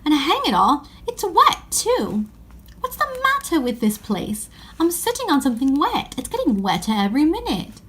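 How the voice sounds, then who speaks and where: A woman's voice: high voice, wildly swooping pitch, normal speed, fairly bright tone, voice pushed up, exaggerated voice. A woman voicing an earnest, fair-minded eldest-brother schoolboy in a children's story, with emphatic old-fashioned phrasing. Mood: exclaiming.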